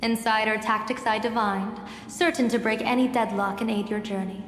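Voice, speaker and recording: A calm, assured strategist, voiced by a woman for a video game, speaking formally as a lofty mentor. The fairly high voice rings in long reverb over faint music. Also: moderate pace, close to an everyday voice. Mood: assured, instructive.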